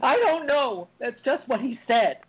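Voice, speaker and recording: A woman's voice: high-pitched, lively intonation, a little slow, husky, exaggerated voice. A woman playing a frightened young man who speaks hesitantly and with confusion, on a tinny phone-like line. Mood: bewildered.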